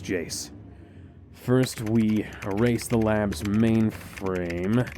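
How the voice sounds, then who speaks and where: Resolute space scientist dad, acted by a man: lowish warm voice, earnest, tender, motion-comic drama with music beneath. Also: natural voice. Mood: calm, grave. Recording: music under the voice.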